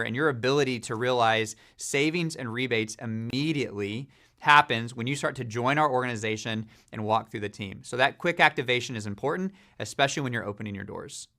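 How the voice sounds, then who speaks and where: A man giving a steady, businesslike corporate explainer about a supplier program, with frequent fillers. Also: medium pitch. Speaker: company leader presenting a supplier program.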